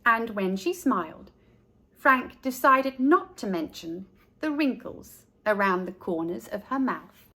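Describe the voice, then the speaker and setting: A woman's voice: medium pitch, animated, slow, bright, forceful tone. A woman narrating a children's story, reading aloud expressively as a storytime narrator.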